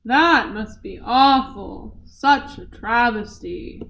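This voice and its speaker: A woman plays a cold, commanding female boss in an audio drama. Her voice is high, and she speaks in curt, threatening orders. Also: takes it slowly, vocal fry, a bit bright, full character voice, rough recording. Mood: stern, sarcastic. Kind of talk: radio play acting.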